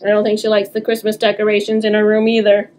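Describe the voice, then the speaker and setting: A woman's voice: mid-range voice, monotone, fast talker, darkish tone, a little hiss or hum. A middle-aged woman doing a children's story time, reading aloud expressively with teacherly asides.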